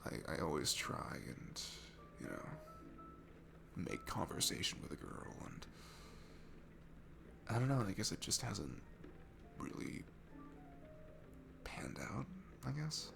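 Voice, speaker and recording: A man playing a shy, insecure, sweet guy at a bar in an intimate audio roleplay, talking in a nervous, halting, apologetic ramble. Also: lowish voice, very animated, vocal fry, exaggerated voice. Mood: shy, confiding. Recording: music behind the voice. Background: music.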